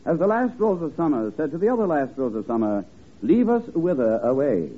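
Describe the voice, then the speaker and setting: An actor playing a bumbling, self-important detective in a 1950s radio comedy, formal and mock-solemn. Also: male voice, on the high side, very animated, fairly quick. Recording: crackly old radio broadcast, narrow band, studio audience.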